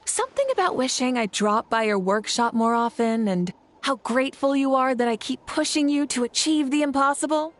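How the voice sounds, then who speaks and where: A woman's voice: high voice, a little fast, lightly acted. A woman voicing a confident, teasing, inspirational female leader in a video game. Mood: warm, earnest. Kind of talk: video game character acting.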